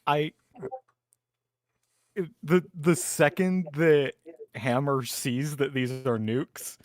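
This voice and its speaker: A man's voice: a little high, drawn-out words, dry recording, studio quality. A man chatting casually as a player in a tabletop roleplaying game, with a wry manner.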